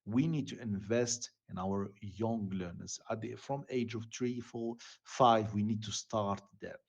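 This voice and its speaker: A man giving an online lecture in an earnest, halting way, with frequent restarts, over a video call. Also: lowish voice, gravelly, lo-fi sound.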